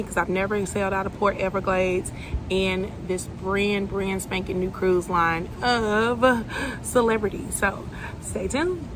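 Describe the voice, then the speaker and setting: Hoarse woman, excited, chatty travel vlogger, music clearly playing underneath. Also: medium pitch. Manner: excited, chatty, addresses viewers as y'all. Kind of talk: casual travel vlog.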